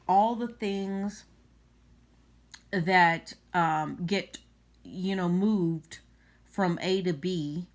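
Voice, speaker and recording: Woman vlogging about politics off the cuff, kinda low voice, halting but emphatic. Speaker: vlogger commenting on news. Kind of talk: unscripted political commentary.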